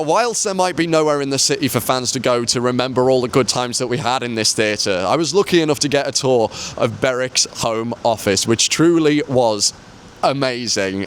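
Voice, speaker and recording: A man's voice: medium pitch, a little hiss or hum. A man presenting a documentary to camera in an enthusiastic, upbeat way.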